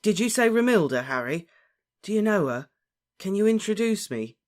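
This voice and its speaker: A man voicing a dazed, lovesick teenage boy wizard in a breathy, hoarse voice for a storybook. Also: on the high side, expressive, exaggerated voice. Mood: eager. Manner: slow, dreamy, drawn-out delivery.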